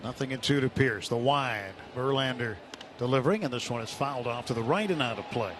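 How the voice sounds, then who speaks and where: A man's voice: medium pitch, a little fast. A man working as a radio play-by-play announcer, giving live baseball commentary from a ballpark. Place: outdoor ballpark broadcast booth. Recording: noisy open-air stadium broadcast.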